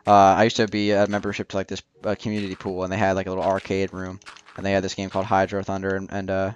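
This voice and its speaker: A man's voice: sits low, monotone, quick pace, muffled recording, a little hiss or hum. A young man casually commenting while building in a video game, rambling and joking with lots of fillers. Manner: rambling, jokey, many fillers. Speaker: gaming channel host.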